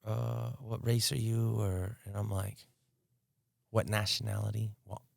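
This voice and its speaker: A man's voice: fairly low pitch, level tone, unhurried, gravelly, no room sound, clean studio sound. An American man co-hosting a podcast, talking in a laid-back, casual way and swearing freely. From United States. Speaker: podcast co-host. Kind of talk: casual chat.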